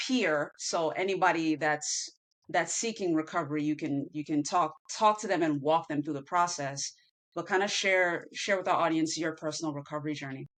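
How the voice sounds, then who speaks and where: A woman hosting a podcast, chatting casually and affirming her guest with loose, rambling questions. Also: sits low. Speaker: podcast host. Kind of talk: casual interview conversation.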